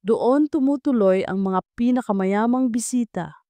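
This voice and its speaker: Woman voicing gentle male janitor, mellow tone. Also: on the high side, measured pace, no room sound, lightly acted. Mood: tender. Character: Santi, devoted, gentle, hardworking male janitor in love.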